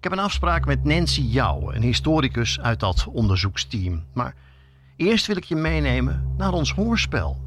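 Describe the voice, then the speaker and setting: Lively male podcast narrator. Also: mid-range voice, slight background noise. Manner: addresses listener directly, storytelling. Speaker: podcast reporter and host.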